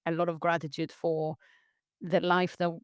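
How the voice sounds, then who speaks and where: A woman from Greece speaks as a guest on a podcast, earnest and reflective, often repeating words as she restarts a thought. Her voice is smooth and resonant, and the recording is close and dry, with no room sound. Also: lowish voice, flat delivery, normal speed, no echo. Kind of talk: reflective interview talk.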